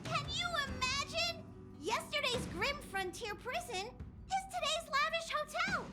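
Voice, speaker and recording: A tiny game mascot creature working as a train conductor. The voice is extremely high and childlike, swinging widely in pitch as it fusses and gives chirpy instructions, with loud music underneath. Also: female voice, lively intonation, measured pace. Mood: excited, bossy. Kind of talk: video game dialogue.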